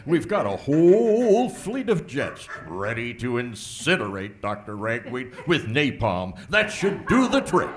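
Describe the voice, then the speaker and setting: An actor playing a flustered, blustering small-town sheriff with a folksy manner in a comic radio play. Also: male voice, medium pitch, big pitch swings, a little slow, hardly any pauses, slight background noise. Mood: boastful. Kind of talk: comic radio play performed before an audience.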